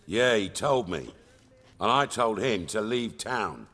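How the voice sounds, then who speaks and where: An actor playing a blunt, self-assured underworld boss in a fantasy video game, speaking in an offhand way. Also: male voice, lowish voice, expressive, takes it slowly, sharp tone, slightly rough, exaggerated voice. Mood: curt, knowing. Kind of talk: video game dialogue acting. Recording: long reverberant hall sound.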